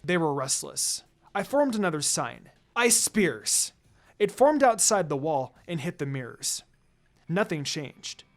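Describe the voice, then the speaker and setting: A man's voice: a little high, very animated, a little slow, creaky. A man reading fan fiction aloud as dramatic first-person story narration. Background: background music.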